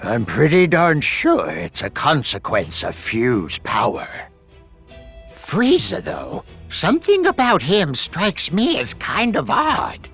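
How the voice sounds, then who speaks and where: A man playing a shrewd, crotchety elderly deity in an animated video game, folksy and emphatic. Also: a little high, takes it slowly, breathy edge, soft background music, heavily acted. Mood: suspicious.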